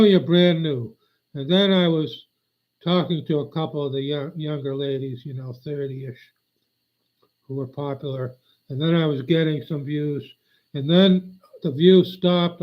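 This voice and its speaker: An older man rambling on a live stream, meandering and aggrieved, often losing his train of thought. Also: fairly high pitch. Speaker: livestreamer. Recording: dull lo-fi audio.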